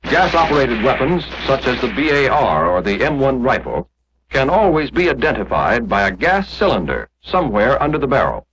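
An American man narrating a scripted 1940s instructional film in a measured, explanatory manner, on an old film soundtrack. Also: mid-range voice, big pitch swings, a bit bright, a little hiss or hum, rough recording.

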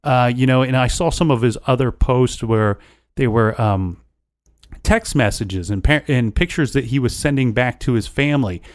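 A man's voice: medium pitch, normal speed, no room sound. Podcast co-host, man, easygoing, close dry mic. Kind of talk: casual true crime chat.

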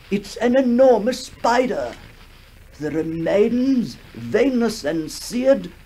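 A man acting a shaken, formal witness recounting horrors haltingly in a 1960s radio horror drama. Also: a little high, vocal fry, darkish tone, heavily acted. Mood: alarmed. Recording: noisy 1960s radio recording.

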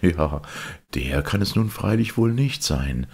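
A man's voice: sits low, lively intonation, slow pace, vocal fry, darkish tone, breathy edge, voice pushed up, full character voice. A man voicing a thoughtful, nostalgic young husband in a storybook reading.

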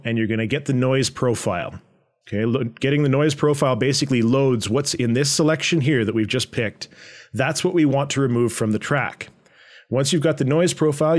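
A man presenting a software tutorial in a conversational, explanatory way with a medium-pitched voice. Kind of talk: informal software tutorial narration.

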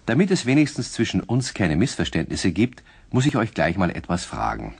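A man's voice: fairly low pitch, huge pitch swings, normal speed, vocal fry, husky. A man narrating a 1960s children's radio play, explaining things directly to young listeners as a storyteller.

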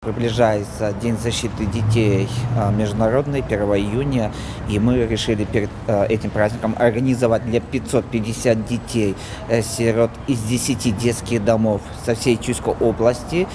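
A man in his thirties giving a somewhat halting interview as a charity representative into a handheld microphone. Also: on the low side, fairly even intonation. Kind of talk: on-camera news interview. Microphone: handheld reporter microphone. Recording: clear urban ambient noise.

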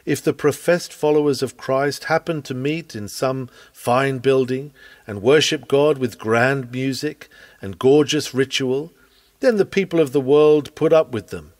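A man reading a scripted sermon aloud in an earnest, measured, expressive preaching manner. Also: mid-range voice, no room sound. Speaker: reader of a historical sermon.

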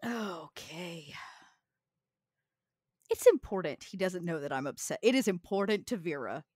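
A woman's voice: lowish voice, animated, measured pace, darkish tone, slightly rough, dry recording, clean studio sound. A woman playing a tabletop roleplaying game, casually and emphatically describing what her character does.

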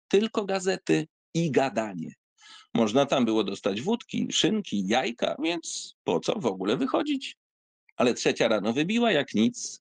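A man giving an expressive, theatrical reading of a literary essay, with wry asides and sighs. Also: medium pitch, creaky, no room sound. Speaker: audiobook reader.

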